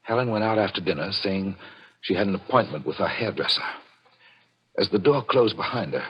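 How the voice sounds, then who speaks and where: A low-voiced, brooding jealous husband. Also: male voice, animated, a little fast, breathy edge, dull audio, heavily acted. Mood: tense, secretive. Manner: brooding, dramatic, intense delivery. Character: suspicious, jealous husband recounting his plot to murder. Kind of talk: 1940s radio thriller acting with first-person narration. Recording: vintage radio broadcast with organ-style music.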